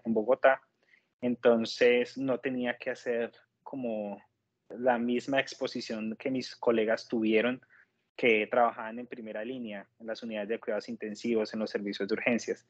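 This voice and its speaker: Measured Colombian man on dull audio. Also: medium pitch, level tone, no room sound. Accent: Colombian Spanish. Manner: measured, polite, explanatory. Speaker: interview guest, nurse. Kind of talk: conversational personal account.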